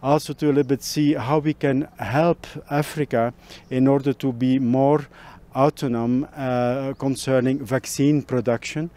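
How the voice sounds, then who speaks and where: Hesitant man from Belgium, mellow voice. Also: mid-range voice, fairly even intonation, normal speed. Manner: hesitant, with fillers. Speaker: Belgian diplomat. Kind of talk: interview statement.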